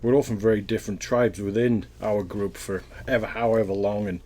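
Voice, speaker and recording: A man with a Welsh accent and a fairly low voice, speaking in a loose, thoughtful way. He wanders through his answers and restarts his sentences often, the way people do in a casual interview. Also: steady tone, fairly quick, a little mellow. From Wales.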